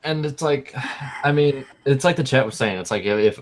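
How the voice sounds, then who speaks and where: A man's voice: medium pitch, moderate pace, a little hiss or hum. A man in his twenties co-hosts a casual podcast over a call. His voice sits in a middle range. He laughs often and trips over his first words before finding his point. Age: 20s. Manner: laughs often, stammers when starting.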